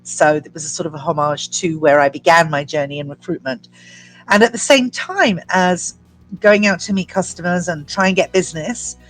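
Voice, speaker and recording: A woman in her fifties, from the UK with an English accent, telling her story as an entrepreneur in a measured, articulate way. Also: lowish voice, low-quality recording. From United Kingdom. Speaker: guest entrepreneur. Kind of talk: prepared personal story.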